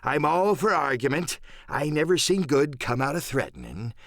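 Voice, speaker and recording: A man voicing an older pirate captain in an audiobook, sly and genial yet menacing, drawling sailor's slang in unhurried phrases. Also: a little high, expressive, a bit bright, no room sound, studio quality, voice pushed up. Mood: smoothly threatening. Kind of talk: audiobook radio-play character acting.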